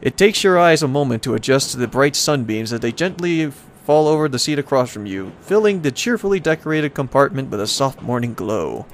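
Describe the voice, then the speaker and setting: A man's voice: mid-range voice, big pitch swings, fairly quick, few pauses, a little hiss or hum. A man hosting a let's play, casually commenting and reading aloud in a playful, rambling way as he reacts to the game.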